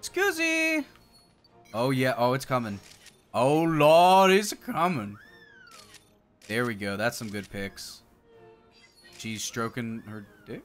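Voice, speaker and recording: A man's voice: medium pitch, sing-song, slow, long pauses. A man in his thirties giving casual, playful gameplay commentary as a streamer, full of exclamations and silly nicknames. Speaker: game streamer, co-host. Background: game music.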